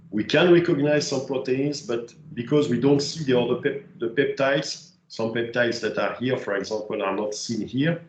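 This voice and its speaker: A man's voice: medium pitch, darkish tone, muffled recording. Guy giving a science talk, lots of uh and restarts, echoey room. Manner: hesitant, many fillers and restarts. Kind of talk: academic presentation with slides.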